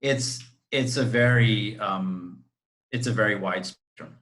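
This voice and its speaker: Costa rican real estate guy, lowish voice, chatty and friendly, video call. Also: slow, a bit bright. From Costa Rica. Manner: friendly, talkative, explains at length. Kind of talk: interview answers.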